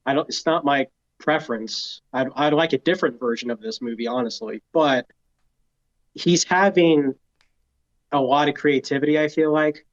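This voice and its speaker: Lo-fi, higher-voiced man, hesitant podcast chat. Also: normal speed. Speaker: podcast co-host. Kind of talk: casual movie review chat. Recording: dull, lo-fi sound.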